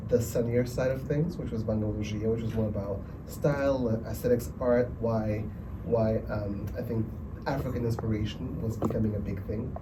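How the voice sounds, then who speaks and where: A man, a documentary director talking in a relaxed, hesitant way at a festival Q&A. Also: mid-range voice. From Angola. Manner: relaxed, hesitant, many ums. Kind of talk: festival Q&A panel talk. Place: cinema event room. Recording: distant mic, noisy room.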